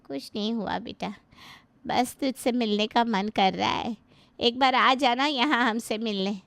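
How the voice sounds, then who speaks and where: A woman playing a doting, gentle mother. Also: a little high, unhurried, gravelly, dry recording. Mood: wistful. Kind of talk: Hindi family drama acting.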